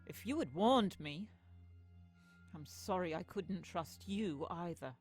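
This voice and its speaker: A woman's voice: lowish voice, animated, slow pace, darkish tone. A woman playing a polite, guarded young political aide in an audio comic, courteous and restrained in manner. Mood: defensive. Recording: music behind the voice.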